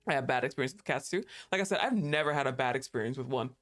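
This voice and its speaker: Low-voiced woman streamer, chatty. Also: expressive, racing, faint music behind. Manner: chatty, laughs often, swears casually. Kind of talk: casual gameplay commentary.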